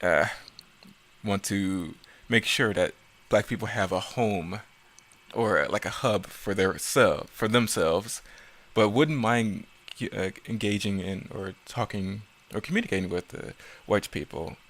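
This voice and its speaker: A man's voice: on the low side, measured pace, fairly bright tone. A man giving casual, unscripted commentary as a video creator, rambling and often correcting himself as he goes. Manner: rambling, self-correcting, stumbling over words.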